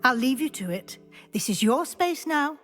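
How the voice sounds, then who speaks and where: A woman's voice: high-pitched, expressive, moderate pace, soft background music. A kindly female professor. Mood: gentle. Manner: gentle, instructive. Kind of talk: video game cutscene.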